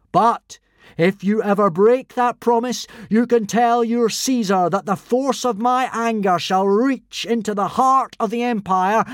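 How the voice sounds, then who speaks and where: A man voicing a boy who poses as an ancient thunder god, booming solemn commands. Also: dry recording, clean studio sound.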